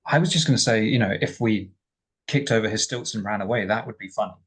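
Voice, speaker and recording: A man playing a reserved, baffled, dry-witted character in a tabletop game, halting and polite with a gravelly, husky voice. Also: on the low side, fairly bright tone. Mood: dry, tentative.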